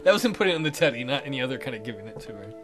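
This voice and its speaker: A man's voice: medium pitch, big pitch swings, rapid-fire. A man reading visual novel lines aloud as a let's player, dropping joking, teasing asides. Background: game music.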